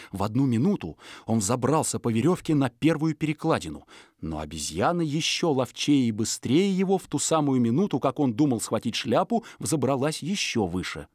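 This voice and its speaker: Expressive male storyteller, very lively pitch. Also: dry recording, studio quality. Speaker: audiobook narrator. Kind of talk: dramatic scripted story narration.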